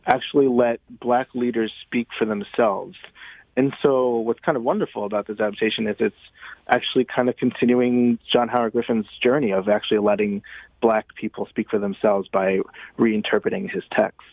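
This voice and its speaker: A man's voice: fairly low pitch, level tone, normal speed, vocal fry, no room sound. A man being interviewed as a playwright and director, talking informally and thinking aloud, on slightly muffled audio. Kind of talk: conversational radio interview.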